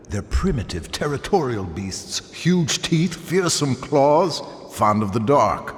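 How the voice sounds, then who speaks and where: Theatrical, wry scholar-guide in echoing reverb. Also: male voice, lowish voice, a little hiss or hum. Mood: wry, cautioning. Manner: theatrical, articulate, dryly pompous. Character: erudite, wry male guide companion, theatrical and self-assured. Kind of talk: video game cutscene acting.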